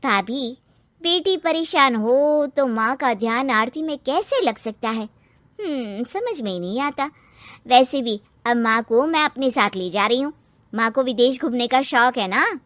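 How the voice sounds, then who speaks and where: A woman plays a cartoon sister-in-law, brisk and pointed. She needles bluntly in a high, animated voice. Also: no echo. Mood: needling. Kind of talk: cartoon story character acting.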